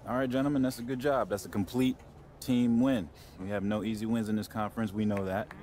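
A man's voice: fairly low pitch, steady tone, fairly quick, darkish tone, slight background noise, close to an everyday voice. An actor playing a firm, fatherly college basketball coach who speaks in a commanding, motivational way in a video game. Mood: approving, steady. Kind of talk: video game cutscene acting.

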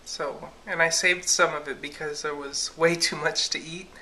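A young woman chats into a camera she holds at arm's length in her home at night, giggling often and filling her talk with "like" and "you know." Her voice is bright, with a faint hum of room noise behind it. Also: deep voice, steady tone, moderate pace. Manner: chatty and giggly, lots of fillers. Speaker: vlogger. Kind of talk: casual chat to camera. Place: home kitchen at night, then living room. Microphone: camera held at arm's length.

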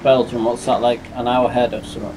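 A man's voice: medium pitch, level tone, fast talker. Easygoing man over noisy voice chat. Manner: easygoing, brief replies. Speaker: fellow roleplay officer. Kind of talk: casual voice chat.

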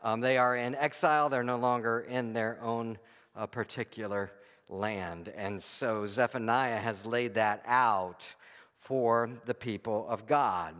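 A man preaching an informal, conversational sermon, speaking haltingly with frequent pauses and restarts. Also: mid-range voice, a bit bright. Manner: halting, often pausing and restarting. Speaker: pastor preaching. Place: church stage, indoors before a congregation. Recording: echoey hall, long reverb.

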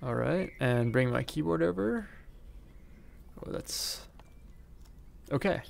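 A man hosting a virtual meeting, talking casually to himself and others as he works software through a headset microphone. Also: a little high, lively intonation, takes it slowly, vocal fry. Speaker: seminar host. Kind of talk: casual talk while operating software. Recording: faintly noisy headset voice chat.

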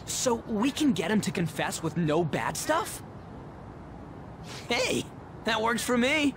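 An actor playing a brash, good-hearted teenage delinquent boy with a rough, slangy delivery in a video game. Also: high voice, sing-song, slow, noisy background, heavily acted. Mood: excited. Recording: music behind the voice.

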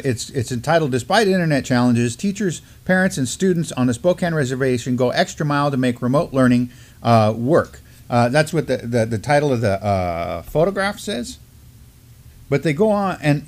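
A middle-aged man gives news commentary as a co-host. His voice sits at an average pitch. He moves between reading and explaining in an informal, halting manner. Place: indoor studio at a desk.

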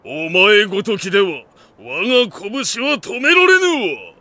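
An actor playing an arrogant, mighty warlord in an anime drama CD, with an imperious, scornful and grandiose manner. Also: male voice, sits high, huge pitch swings, a little slow, heavy background noise, heavily acted. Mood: scornful, menacing. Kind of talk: anime game drama CD acting. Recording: music behind the voice.

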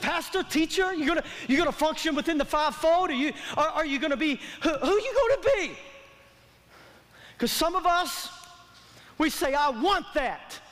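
Very high-pitched preacher man in reverberant hall. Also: fairly quick, long pauses. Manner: animated, emphatic, repetitive, colloquial, calls for audience response. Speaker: pastor. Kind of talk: sermon preaching. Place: large church auditorium stage, indoors. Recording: long hall reverb from stage sound system.

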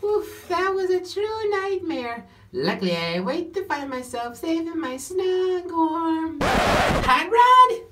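A man voicing an excitable young male cartoon sea sponge in an amateur puppet parody, exclamatory and whiny. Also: very high-pitched, slow, heavily acted. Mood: panicked, excited. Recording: noisy homemade recording.

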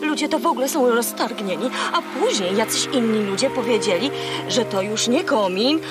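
A woman's voice: a little high, animated, normal speed, over loud music, natural voice. A woman voicing a boastful, chatty, hungry female seagull in a children's audio play, haughty and dramatic. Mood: boastful storytelling.

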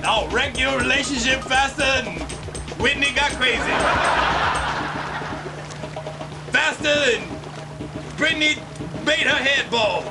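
A man's voice: very high voice, a little slow, recorded from a distance, over loud music. A man voicing an insecure male puppet fortune-teller, whiny, defensive and slangy, in a comedy sketch. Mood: brash, hawking. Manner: whiny, defensive, slangy street-hawker patter.

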